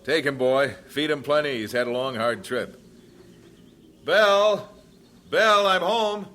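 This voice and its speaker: Casting: earnest young-family missionary husband, plain-spoken and devoted, animated intonation, old-time radio style. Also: male voice, fairly high pitch, unhurried, a little hiss or hum. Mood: hearty.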